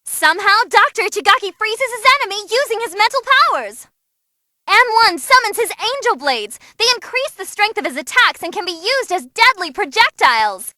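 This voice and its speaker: Super high bubbly demon girl fight announcer, all over the place, game voice. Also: very animated, normal speed, pushed and bright, no room sound, clean studio sound, exaggerated voice. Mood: brisk, emphatic. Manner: bubbly, theatrical, exclaiming. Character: excitable young female demon tournament announcer. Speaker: fighting tournament commentator. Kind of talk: excited match commentary, game voice acting.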